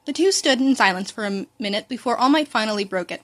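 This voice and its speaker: High-pitched woman reading fan fiction casually. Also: animated, fast talker. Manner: informal, stumbles over words. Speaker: amateur podfic narrator.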